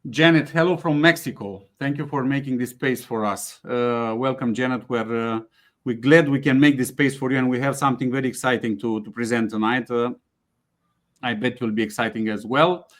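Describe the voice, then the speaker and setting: A man hosting a webinar, speaking haltingly with frequent fillers and restarts. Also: medium pitch, fairly even intonation, a bit bright. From Romania. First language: Romanian. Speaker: webinar host, company CEO. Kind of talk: hesitant webinar presentation with many fillers.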